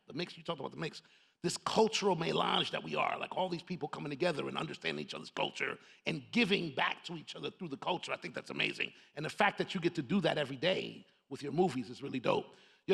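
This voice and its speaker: A man's voice: a little high, creaky, a bit bright, breathy edge. A middle-aged man from Harlem, moderating a stage talk in loose street slang. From Harlem, New York, USA. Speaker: moderator, marketing leader. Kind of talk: casual stage conversation. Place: stage panel before a live audience.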